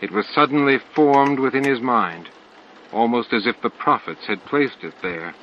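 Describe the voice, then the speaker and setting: A man's voice: mid-range voice, moderate pace. A man reading scripted fiction as an audiobook narrator. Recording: dull lo-fi audio, clear noise. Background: added ambient sound effects.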